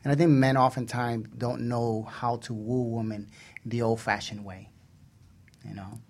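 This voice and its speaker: A man's voice: medium pitch, flat delivery, a little slow. A man hosting a casual radio discussion, talking in an earnest, conversational way. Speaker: radio show host.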